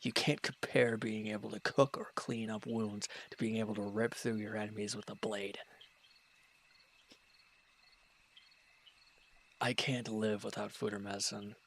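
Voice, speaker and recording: A man's voice: fairly low pitch, little pitch movement, moderate pace, vocal fry, few pauses, a bit bright. A man acting a proud, bitter, wounded villain in an audio roleplay, speaking in a curt, irritable, dismissive way. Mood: bitter, exasperated. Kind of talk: dramatic roleplay audio monologue.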